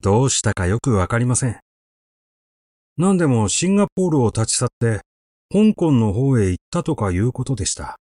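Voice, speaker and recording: A man's voice: medium pitch, very animated, a little fast, warm tone, dry recording, clean studio sound. A man voicing a male acquaintance who recounts an eerie legend in a reflective, explanatory way for an audiobook. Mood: reflective, sympathetic.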